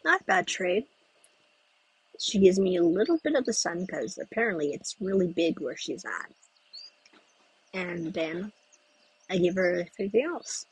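A solo podcaster talks outdoors, where the breeze is noticeable and people pass by. The voice is very husky and breathy, sitting at a middle pitch. The talk wanders loosely from one thought to the next, with pauses to think. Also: sing-song, rough recording. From United States. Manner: chatty, meandering, thinks aloud with pauses. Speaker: podcast host. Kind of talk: rambling solo podcast chat. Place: outdoors in a breezy spot with passers-by.

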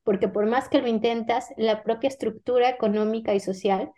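A woman's voice: fairly high pitch, fairly even intonation, a little fast, dark tone. A middle-aged Mexican woman, an author, answering an interview in an earnest, reflective way, often restarting and adding "¿no?". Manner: earnest, many repeated restarts and "¿no?" tags. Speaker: author guest.

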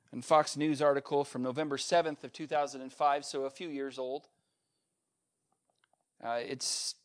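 A male pastor preaching a sermon, building up to a repeated refrain and swinging between loud peaks and quiet, low asides. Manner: emphatic preacher with swings in pitch and loudness, repetitive refrain.

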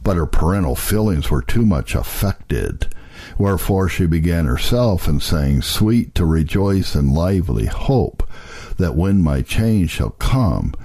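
A man's voice: rumbling low, dark tone, slightly rough. A man reading an old devotional text aloud as a solemn, scripted audiobook narration. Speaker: narrator reading historical religious texts.

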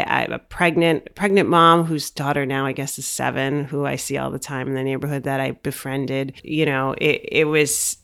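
A woman's voice: deep voice, vocal fry, fairly bright tone, clear, resonant tone. A middle-aged woman speaking as an interview guest, casually and somewhat hesitantly piecing together her memories. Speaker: interview guest, small business owner. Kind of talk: casual conversational recollection.

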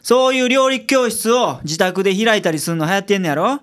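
An actor playing a sardonic but kind private detective in a radio drama, with a Kansai accent and a blunt, measured way of talking. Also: male voice, high voice, a bit bright. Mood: grumbling, teasing. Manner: blunt with colleagues, measured and polite with clients. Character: gruff, sardonic male private detective, shrewd and secretly kind.

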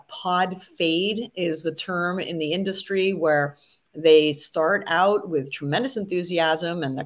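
Earnest advising woman, lowish voice. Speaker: podcast guest, podcasting coach. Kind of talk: interview answers.

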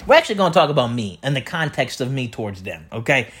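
A man with a loud, projecting voice rambling through a comedic solo monologue as a podcast host, repeating himself and tossing out rhetorical questions. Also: medium pitch, very animated, fast, husky. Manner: repetitive, riffing with catchphrases and rhetorical questions. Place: indoor room beside an open window.

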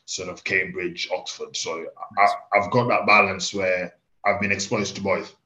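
A man in his thirties with a lowish voice and an English accent, raised first in Kenya, with Swahili as his first language. He tells candid stories in an animated, conversational way. The recording sounds dull and lo-fi. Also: normal speed. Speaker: farmer guest. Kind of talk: casual interview chat.

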